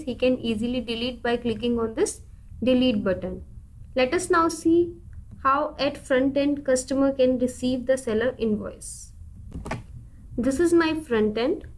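A woman narrating a scripted, step-by-step software demo in an instructional, deliberate manner. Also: high-pitched. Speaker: software tutorial presenter.

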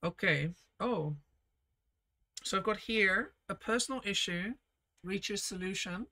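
A woman leading a casual live painting lesson, reading viewer comments and chatting warmly as she paints, often laughing softly and trailing off mid-sentence. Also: medium pitch, slow pace. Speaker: art teacher streaming a painting session.

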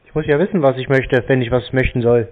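A man's voice: mid-range voice, steady tone, quick pace. A man bantering casually on a phone call in a mocking, provocative way, playing clueless. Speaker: prank call recipient baiting scam callers.

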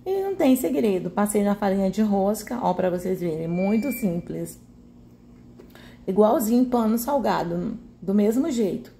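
A woman's voice: mid-range voice, lively intonation, moderate pace, creaky, mellow, a little hiss or hum. Chatty Brazilian woman narrating a recipe. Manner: chatty, homely, often seeking agreement with tag questions. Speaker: home cooking video host. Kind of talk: casual recipe voice-over.

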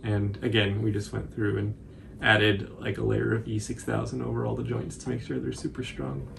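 A man in his twenties giving a casual, informal tutorial commentary in a halting, hesitant way. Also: on the low side, vocal fry. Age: 20s. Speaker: cosplay tutorial presenter.

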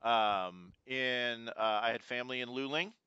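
A man's voice: mid-range voice, measured pace, pushed and bright, clean tone, dry recording, muffled recording. A man in his forties from Houston, co-hosting a podcast and telling rambling stories with many fillers. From Houston, Texas. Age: 40s. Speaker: podcast co-host. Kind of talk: casual chat.

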